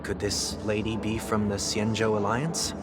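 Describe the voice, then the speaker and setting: Refined, courteous young leader, voiced by a man. Lowish, even-toned, over a heavy game score. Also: normal speed, close to an everyday voice. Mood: composed. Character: poised, polite young man of authority. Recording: loud game music behind.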